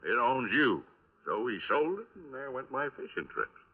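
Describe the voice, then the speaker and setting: An actor playing a folksy, patient but probing police lieutenant in a 1950s radio crime drama. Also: male voice, medium pitch, sing-song, moderate pace. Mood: easygoing. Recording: restored 1950s radio, narrow band.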